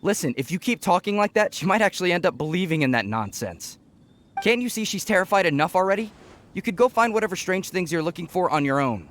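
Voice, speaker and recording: A man's voice: high voice, animated, fast, a bit bright, a little hiss or hum. An actor playing an exasperated, protective, skeptical young man in a video game, placating yet brusque.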